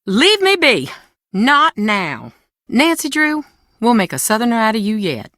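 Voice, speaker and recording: Bright-voiced, proud Southern mother. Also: fairly high pitch, big pitch swings, unhurried, no echo, clean studio sound, full character voice. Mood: curt, strained. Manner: blunt, folksy, dramatic. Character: proud, weary, sharp-tongued Southern mother. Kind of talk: video game voice acting.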